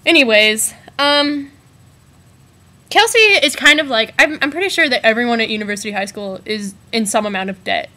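A woman's voice: a little high, moderate pace, pushed and bright. A teenage girl from Arizona co-hosting a casual webcam chat at home, teasing and giggly. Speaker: co-host. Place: bedroom at home, indoors. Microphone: built-in laptop webcam mic.

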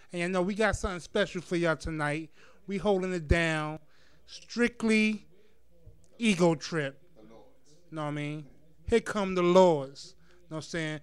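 A man's voice: high-pitched, fairly bright tone, smooth, dry recording. A man hosting an internet radio show, announcing in a slangy hype-man style from a home studio. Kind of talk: hype announcing over DJ set. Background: faint music.

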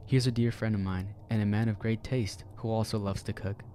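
A man voicing a seductive, slightly unhinged female cooking show hostess with a breathy, low voice. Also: fairly even intonation, fairly quick, warm tone, slight background noise, lightly acted. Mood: sultry.

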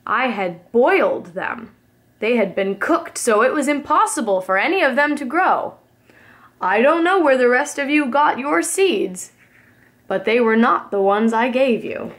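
A woman voicing a stately storybook emperor with emphatic, deliberate proclamations. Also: a little high. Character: stately old male emperor, solemn then delighted.

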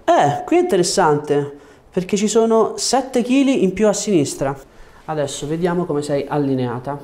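A man's voice: high-pitched, animated, moderate pace, darkish tone. A man in his thirties, a chiropractor, giving a patient short, reassuring instructions during a hands-on exam. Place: small treatment room indoors. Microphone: lapel mic.

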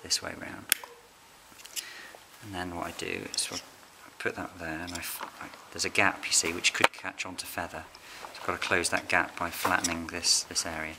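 A middle-aged man, a licensed bird ringer, calmly explaining his fieldwork while handling birds in a farm barn. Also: low-pitched, moderate pace, gravelly. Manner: calm, quiet, hands-on explaining with fillers. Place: open farm barn on straw, outdoors air. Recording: noisy outdoor camera recording.

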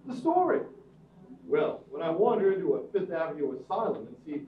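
An actor in radio theatre playing a breezy, inquisitive well-to-do gentleman, jovial and prodding. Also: on the high side, sing-song, a little fast. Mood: jovial, curious. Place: theatre stage before an audience. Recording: distant echoey stage, dull sound, faint noise.

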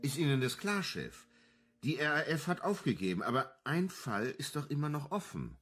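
A man's voice: a little high, very animated, moderate pace, a bit bright. An actor playing an eager, probing former police assistant who defers to his boss in a radio crime drama.

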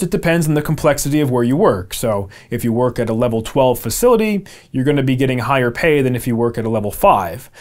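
A man in his thirties with an American accent speaks at a middling pitch. He explains things informally to camera in a conversational way, putting weight on key terms. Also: darkish tone. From Albany, New York, USA. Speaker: video presenter. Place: small home office, indoors.

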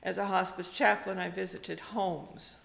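An older woman, a retired pastor, preaches slowly and gently, telling a personal story with pauses, at a pulpit microphone in a church. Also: mid-range voice, flat delivery, creaky. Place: church sanctuary, indoors. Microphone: stand microphone at a pulpit. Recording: long reverb of a church hall.

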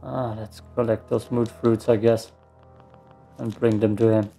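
A man giving casual, low-key gameplay commentary in muttered asides. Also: level tone, unhurried, vocal fry, mellow, faint music behind. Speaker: gamer doing a let's play.

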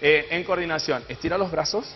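A man's voice: fairly high pitch, a little fast. A young Argentine man instructing and encouraging as a fitness trainer during a live exercise demonstration. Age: young adult. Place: TV studio, indoors. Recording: dull echoey TV audio, music behind.

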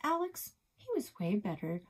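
A middle-aged woman, a librarian, reading a children's chapter book aloud in a calm storytelling voice, recorded on a webcam in a small office. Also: medium pitch, normal speed, a little hiss or hum. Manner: calm storytelling, soft breathy passages.

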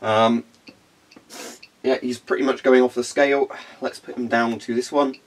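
A man's voice: mid-range voice, fairly even intonation, normal speed. A young man with a congested, phlegmy voice, casually demonstrating equipment in a carpeted room and rambling with frequent ums. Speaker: hobbyist video host.

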